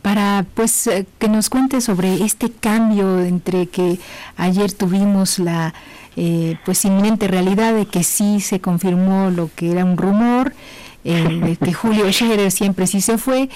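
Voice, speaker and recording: A woman's voice: medium pitch, steady tone, takes it slowly, dark tone, poor audio. A woman hosting a radio interview, friendly, asking questions and giving short acknowledgements. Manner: friendly, frequent brief acknowledgements. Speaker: radio host.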